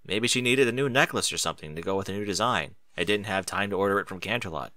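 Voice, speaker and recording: Male audiobook narrator, expressive and bright, with lively pitch movement. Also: fast, no room sound. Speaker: audiobook narrator of fan fiction. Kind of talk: scripted story narration.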